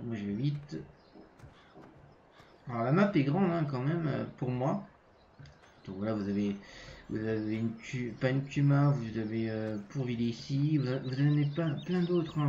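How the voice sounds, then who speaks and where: A French man walks through a game in a casual, halting way, with a stuffy nose from a cold and many pauses and restarts. His voice is mellow and dark. The home mic sounds a bit roomy and dull, with faint noise behind. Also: mid-range voice, gravelly. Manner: hesitant, many fillers and restarts. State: has a cold. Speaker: gaming channel host. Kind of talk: casual game walkthrough commentary.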